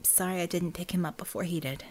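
A woman's voice: on the low side, fast, vocal fry. A woman voicing an unflappable, dry-witted heroine in an audiobook, blunt and matter-of-fact.

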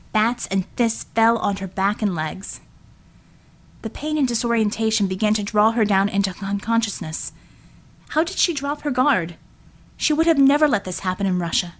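A woman reading a scripted action story as a dramatic audiobook narrator. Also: mid-range voice.

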